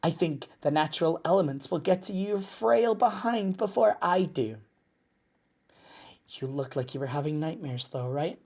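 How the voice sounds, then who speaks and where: A woman voicing a cocky, gruff but motherly lycan mercenary in an audio drama, with a teasing, sardonic drawl. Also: low-pitched, expressive, normal speed, a little mellow. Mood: softer, caring. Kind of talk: scripted audio roleplay drama.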